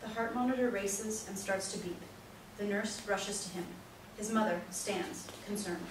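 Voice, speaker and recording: A woman's voice: mid-range voice, moderate pace. Woman narrator in an echoey room. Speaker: narrator reading stage directions. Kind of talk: scripted narration, play reading. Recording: echoey room, distant mic, noisy.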